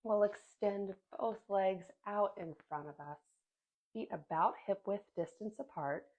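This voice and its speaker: A woman yoga instructor calmly cueing a seated class, a little sniffly from a sinus infection. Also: medium pitch, highs cut off. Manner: gentle, calm cueing with soft pauses.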